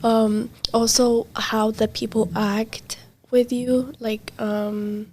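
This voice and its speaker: A young woman, a native Arabic or Kurdish speaker, talking casually as an interviewee, halting with many fillers and trailing softly. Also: on the high side, level tone. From Middle East. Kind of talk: casual conversation. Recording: faint background noise.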